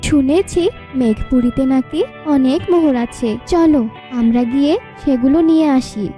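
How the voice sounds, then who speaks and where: A woman's voice: high-pitched, unhurried, warm tone, soft background music. A woman voicing an eager, greedy farmer's wife, coaxing and questioning, in a cartoon folk tale. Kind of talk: Bengali cartoon fairy-tale dialogue.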